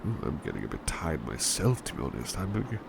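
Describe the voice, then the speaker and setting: A man playing a devoted servant turned talking plush toy in a soothing ASMR roleplay, soft-spoken, reassuring and politely formal, a little hesitant. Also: rumbling low, sing-song, fairly quick, gravelly, noisy background, full character voice. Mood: sleepy, soothing.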